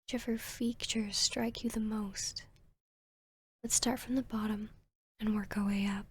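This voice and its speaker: A woman's voice: mid-range voice, flat delivery, unhurried, husky, no echo. A woman voicing a seductive, arrogant vampiress posing as a therapist in an ASMR roleplay, half-whispering in a soothing, commanding, teasing way. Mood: soothing, probing. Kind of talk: ASMR roleplay, hypnotic guided induction.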